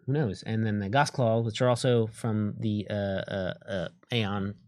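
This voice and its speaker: A man's voice: fairly low pitch, steady tone, unhurried, a little mellow, dry recording, clean studio sound. A man co-hosting a tabletop game stream, chatting casually and reading out options with loose, hesitant explanations. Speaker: co-host of a tabletop game livestream.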